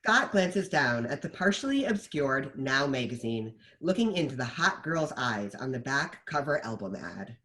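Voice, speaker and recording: Narrator of unclear sex, scripted narration, medium pitch, animated delivery. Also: normal speed, hardly any pauses, low-quality recording. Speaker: narrator reading stage directions.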